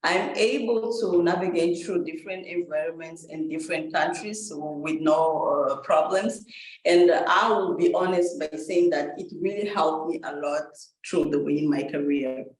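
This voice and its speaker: A woman's voice: sits low, moderate pace, slight background noise. A woman from Mozambique whose first language is Portuguese, speaking candidly and with small hesitations on a panel. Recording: echoey room.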